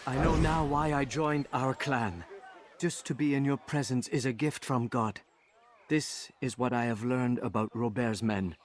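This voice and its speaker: A man's voice: mid-range voice, unhurried, background noise, lightly acted. A man playing a deferential young assassin who admires his master, humble and eager, in a video game. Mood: reverent, humble. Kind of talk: video game character acting.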